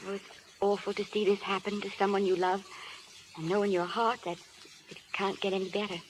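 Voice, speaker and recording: A woman playing an earnest young wife in a vintage radio melodrama. She is devoted, anguished and resolute, in a medium-pitched voice with faint broadcast hiss. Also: little pitch movement, brisk, close to an everyday voice. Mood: tender, sorrowful. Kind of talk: 1930s-40s radio drama acting. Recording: old radio broadcast with hissy noise.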